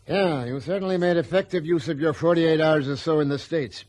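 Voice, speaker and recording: An actor playing a pragmatic, persuasive aviation company boss in a radio drama, condescending and forceful, with a husky voice. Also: male voice, a little high, fairly quick, natural voice. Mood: assured, goading.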